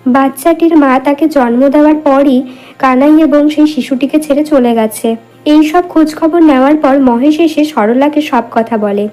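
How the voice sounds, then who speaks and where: A woman's voice: sits high, moderate pace, dark tone, faint music behind, rough recording. A woman giving scripted storybook narration for a moral tale.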